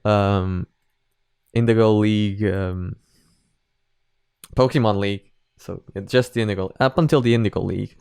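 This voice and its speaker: A man's voice: lowish voice, steady tone, moderate pace, creaky, long pauses, a little mellow, smooth, dry recording, studio quality. A man hosting a reaction video, giving casual opinionated commentary in a rambling, emphatic way with some casual swearing.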